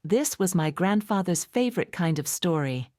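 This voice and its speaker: A woman's voice: lowish voice, lively intonation, normal speed, darkish tone, dry recording, studio quality, lightly acted. A woman storyteller voicing a shy, polite, earnest young boy in a storybook. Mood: pleased.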